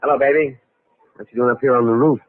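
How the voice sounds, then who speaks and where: An actor playing a slick, tough-talking impostor scheming for an inheritance in a 1940s radio drama. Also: male voice, mid-range voice, fairly even intonation, fast talker, close to an everyday voice. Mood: cocky. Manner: tough slangy talk. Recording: tinny band-limited vintage radio recording.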